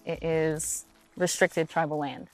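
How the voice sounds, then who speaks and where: A woman, a singer, speaking reflectively in an interview outside a family house, sometimes in quick bursts. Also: low voice, steady tone, soft background music, rough recording. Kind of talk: casual interview answers.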